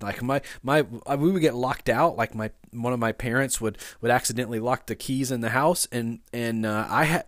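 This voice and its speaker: A man, a father of young sons, giving a conversational sermon that opens with a personal story. He talks in a loose, halting way, with many restarts and fillers. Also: mid-range voice, dry recording, studio quality. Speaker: pastor.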